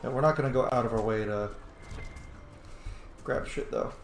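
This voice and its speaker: A man giving casual, sweary, playful commentary as he plays a video game, excitable in his delivery. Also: medium pitch, normal speed. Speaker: gamer, let's play host. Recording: roomy echo, faint background noise.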